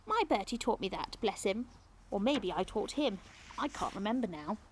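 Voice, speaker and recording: A woman's voice: medium pitch, very animated, moderate pace, a little hiss or hum, lightly acted. A woman voicing a chatty, kindly and wistful old English lady in clipped, homely phrases for a children's storybook.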